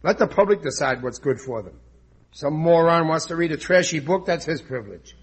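An actor playing a blustering, stubborn, dim working-class husband of about forty in a 1940s radio comedy, often hesitant and ungrammatical. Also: male voice, a little high, expressive, fairly quick, husky. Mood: indignant. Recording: old radio broadcast recording.

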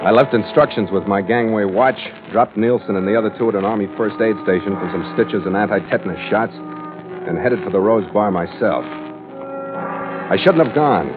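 A man's voice: lowish voice, close to an everyday voice. A man playing a world-weary, hard-boiled sea captain who narrates his log tersely in a 1940s radio drama style. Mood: grim. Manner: terse, clipped, dramatic delivery. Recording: tinny old radio recording with music.

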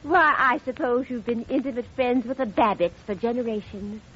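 Breathy, gushing, high-voiced flirt. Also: female voice, normal speed, a bit bright. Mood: coy, gushing. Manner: coy, gushing, giggly. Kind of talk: 1940s radio comedy-drama acting. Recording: muffled old radio broadcast.

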